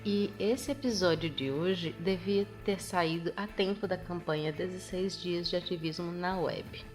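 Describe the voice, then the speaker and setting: A woman's voice: on the low side, unhurried, over music. A woman podcast host with a Brazilian Portuguese accent, chatting casually and sounding a bit nasal from a cold she is getting over. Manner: chatty, self-correcting. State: recovering from a cold, slightly nasal. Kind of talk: casual solo podcast chat.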